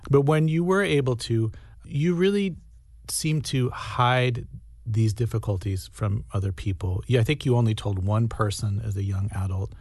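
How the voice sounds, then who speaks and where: A man interviewing an author, asking questions in a gentle, sympathetic way. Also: mid-range voice, normal speed, no echo, studio quality.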